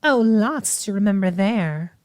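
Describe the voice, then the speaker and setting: A woman's voice: medium pitch, animated, measured pace, darkish tone, dry recording. A woman streaming as a VTuber, giving wry, theatrical commentary as she plays through a game. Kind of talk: playthrough commentary with dramatic reading.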